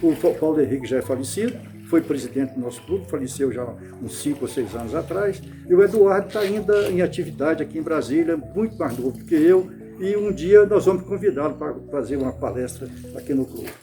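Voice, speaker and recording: Older man, from Bahia, reminiscing interviewee, slightly high voice, hesitant restarts, faint background music. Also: expressive. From Brazil, Bahia. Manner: unhurried, with frequent restarts and repeated words. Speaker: interviewee, club founder.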